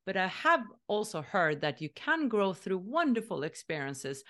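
A woman's voice: mid-range voice, sing-song, moderate pace, fairly bright tone. A woman hosts a spiritual podcast interview, talking thoughtfully and easily. She laughs through her words often. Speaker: interview host. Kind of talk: casual interview talk.

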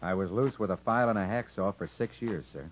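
A man acting a quiet, proud, plainspoken convict inventor who is polite but determined, in a 1950s radio drama. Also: on the low side, fast talker, slightly rough. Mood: earnest, determined. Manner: plainspoken, understated, earnest. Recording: muffled 1950s radio broadcast.

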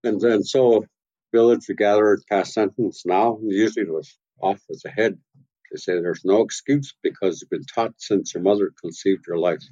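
Older male elder, interview guest. Measured, plain-spoken, warm dark tone. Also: fairly low pitch, steady tone, muffled recording. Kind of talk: slow reflective storytelling.

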